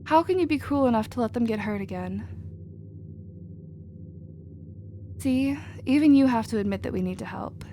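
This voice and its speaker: A woman playing a protective, nurturing female alien with a casual, expressive manner in a sci-fi ASMR roleplay. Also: on the high side, quick pace, slight background noise, natural voice. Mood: indignant, pleading.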